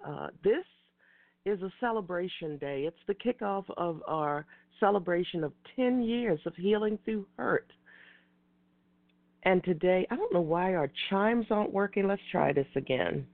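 A woman hosting an internet radio talk show, slightly hoarse and raspy from a cold, speaking in a measured way with repeated greetings. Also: fairly low pitch, animated, no room sound. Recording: tinny phone line.

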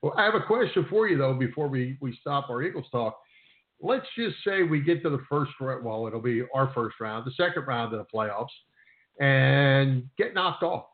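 Male sports talk co-host, mid pitch, halting conversational delivery, narrow phone-quality audio. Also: expressive. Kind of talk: casual sports discussion. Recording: roomy, thin phone-like sound.

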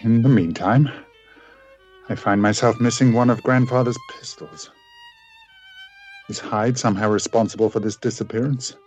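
An actor playing a tormented, remorseful gentleman scientist in a radio play, speaking with formal, brooding diction. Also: medium pitch, fairly even intonation, moderate pace, long pauses, soft background music, natural voice. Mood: brooding regret.

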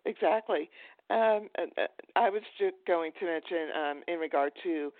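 A woman's voice: fairly low pitch, moderate pace, dry recording. A woman hosting a casual interview, speaking in a hesitant, meandering way. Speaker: podcast host, interviewer. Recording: tinny phone-like line, dry.

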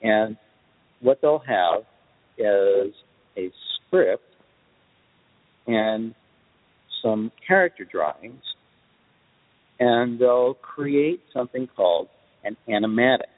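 A man's voice: on the low side, takes it slowly, pauses often. A man speaking as an interviewed composer, articulate and explanatory, illustrating his points with examples. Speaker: guest film composer. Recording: dull lo-fi audio with long reverb.

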